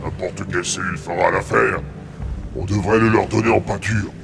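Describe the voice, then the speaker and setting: A man's voice: low-pitched, fairly even intonation, normal speed, music in the background. A man playing a surly, hungry alien brute soldier in a video game, grumbling menacingly in a growling voice. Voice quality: very gravelly, growling. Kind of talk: dramatic video game cutscene acting.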